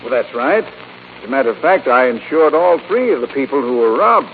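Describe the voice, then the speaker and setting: A man's voice: mid-range voice, expressive, moderate pace, close to an everyday voice. An actor playing a fussy, genial insurance man in a 1940s radio drama, courteous and a little hesitant. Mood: guarded, intrigued. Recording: muffled, noisy 1940s radio broadcast.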